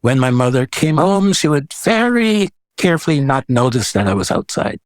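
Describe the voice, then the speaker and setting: A man's voice: a little high, big pitch swings, moderate pace, dry recording. Older man, dry close recording. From Guyana. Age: 70s. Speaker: guest, visual artist. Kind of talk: casual reflective interview talk.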